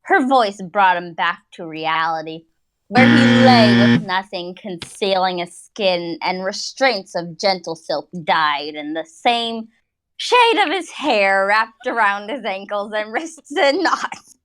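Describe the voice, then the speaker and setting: A woman reads fan fiction as a mock-dramatic narrator. Her delivery is slow and theatrical, drawing out phrases with lively swings in pitch around a medium register. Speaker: narrator of a comic dramatic reading.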